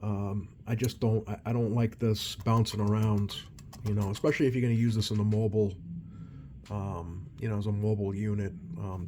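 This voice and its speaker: Low-voiced man talking through a repair. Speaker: CB radio repair technician and channel host. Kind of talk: unscripted hands-on repair commentary. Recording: steady background noise.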